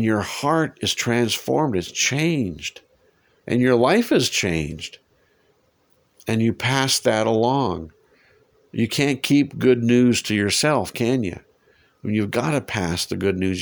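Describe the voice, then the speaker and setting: A man with a head cold and lingering cough, teaching a Bible passage in a conversational way and asking his listeners rhetorical questions. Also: on the low side. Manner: warm, musing, asks listeners rhetorical questions.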